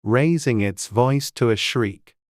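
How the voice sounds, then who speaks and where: An audiobook narrator reading a classic children's story in a measured, gentle way. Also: mid-range voice, dry recording, clean studio sound.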